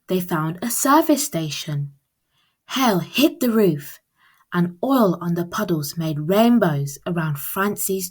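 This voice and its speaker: A woman reading a children's picture book aloud as storyteller, with expressive, deliberate phrasing. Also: on the low side, husky.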